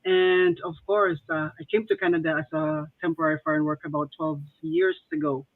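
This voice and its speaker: A woman's voice: low voice, fairly even intonation, brisk, dry recording. Tinny-sounding woman. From Cebu, Philippines. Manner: chatty, laughs often between phrases. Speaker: interview guest. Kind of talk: casual interview talk. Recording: tinny phone-like line, dry.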